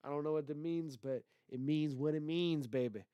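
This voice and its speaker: A man's voice: on the high side, normal speed, mellow, clear, resonant tone, dry recording. Stuffy-nosed man, rambling and profane. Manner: loose, rambling, heavy swearing. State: congested, stuffy nose. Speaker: solo livestream podcast host. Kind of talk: casual profane livestream rant.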